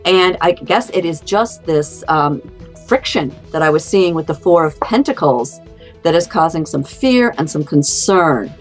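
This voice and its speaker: A woman's voice: sits low, lively intonation, unhurried. A woman giving a casual, unscripted tarot reading in a conversational, musing way. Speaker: tarot card reader. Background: faint music.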